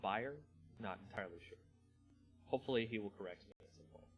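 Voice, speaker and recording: A man hosting a live stream in a casual, slangy, rambling way, with halting pauses. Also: lowish voice, soft background music. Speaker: host of a card game channel. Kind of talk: casual live stream chat, then dramatic story reading.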